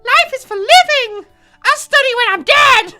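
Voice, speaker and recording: A man voicing an excitable, bubbly, rebellious teenage anime schoolgirl in an exaggerated falsetto. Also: unhurried, soft background music, heavily acted. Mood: defiant, gleeful. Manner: exaggerated, gleeful.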